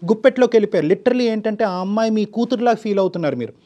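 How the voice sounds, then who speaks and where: Male talk-show counsellor, high voice, rapid delivery, emphatic, long-winded advice sprinkled with English. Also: animated, dark tone.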